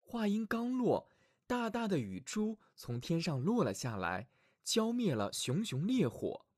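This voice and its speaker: A man tells a fairy tale as a storyteller for children. His scripted narration is dramatic and clearly phrased, with a pitch that rises and falls widely. The recording is dry and close. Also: sing-song, measured pace, a little mellow, no echo. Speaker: children's storyteller, show host.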